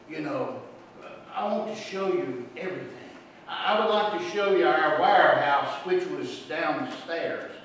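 A middle-aged man preaching a sermon in a church sanctuary, telling a story and swinging between hushed and loud delivery. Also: fairly high pitch, a little hiss or hum. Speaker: pastor. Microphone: room microphone, far from camera. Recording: roomy echo, distant mic.

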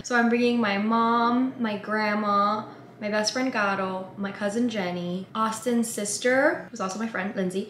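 A woman's voice: medium pitch, fairly bright tone, slight background noise. Bubbly young woman vlogger, echoey room. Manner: chatty, bubbly, heavy use of "like". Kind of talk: casual vlog chat. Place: small home room, indoors.

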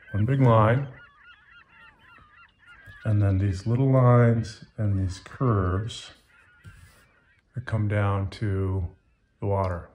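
A man in his fifties speaking casually and simply to children as an author and illustrator, reading aloud and guiding drawing. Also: fairly low pitch. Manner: casual, simple wording, encouraging asides to young viewers. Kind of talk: children's picture-book read-aloud and drawing tutorial.

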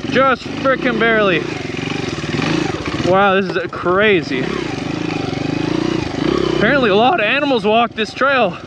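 A young man in his twenties riding a dirt bike through snowy woods, talking excitedly to the camera and cheering himself on. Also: high voice, measured pace, pauses often. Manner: hyped, exclaiming, cheering himself on. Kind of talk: excited ride commentary to camera. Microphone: helmet or action camera, then selfie camera at arm's length. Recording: loud engine and wind noise. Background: motorcycle engine.

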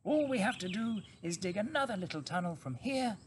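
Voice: medium pitch, animated, a little fast, a little hiss or hum, voice pushed up, lightly acted. A storybook narrator voicing a clever father fox who sounds cheerful and commanding. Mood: confident.

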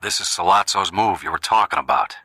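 A man's voice: low voice, fairly quick, bright, forceful tone, breathy edge, no room sound, full character voice. A man voicing a tough, commanding older brother from a Mafia family, in an audiobook drama. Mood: low, impressed.

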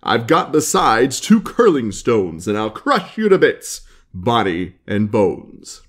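A big, ugly billy goat from a storybook, acted by a man. He is bold and threatening, his hoarse voice swinging widely in pitch. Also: huge pitch swings, slow pace, exaggerated voice. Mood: defiant threats. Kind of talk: storybook character voice.

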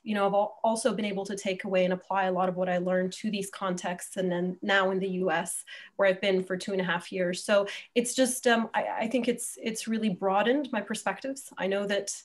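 A woman in her thirties from Egypt speaking conversationally as an academic guest on a video call, thoughtfully rephrasing as she goes. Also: medium pitch. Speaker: guest academic, terrorism scholar. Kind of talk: conversational interview answer. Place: indoor room at home, video call.

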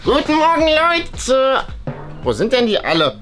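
A woman's voice: high-pitched, wildly swooping pitch, unhurried, full character voice. A woman playing a nerdy, anxious, rule-obsessed schoolboy in a children's school skit, with a pedantic, stammering manner. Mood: eager. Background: music.

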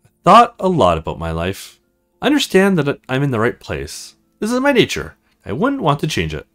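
Casting: man reading as devoted young female servant in a visual novel game, meek, earnest, with very animated pitch. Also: moderate pace, soft background music. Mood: serene, submissive.

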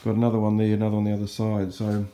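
A man's voice: on the low side, monotone, very fast, dark tone. A man in his fifties with a New Zealand accent, explaining things informally and unhurriedly in a casual fishing vlog. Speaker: fishing vlogger.

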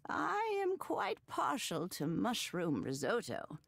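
A woman's voice: mid-range voice, no echo, full character voice. A folksy old grandmother from a cozy farming game. Her voice swings expressively and crackles with age, close and dry, doting and teasing. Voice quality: creaky, aged. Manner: slow, homespun, expressive. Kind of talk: cozy video game voice acting.

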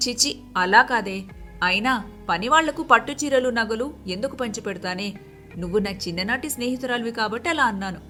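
A woman voicing a kind, generous wealthy young wife in an animated moral story, with a friendly, reassuring manner. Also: fairly high pitch, brisk, faint music behind.

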